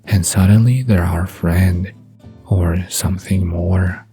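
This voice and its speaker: A man's voice: sits low, steady tone, slow pace. A man acting an amused, easygoing young man in an intimate bedtime audio drama. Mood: musing. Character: Jimmy, amused, easygoing young man musing about fate. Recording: soft guitar music behind the voice.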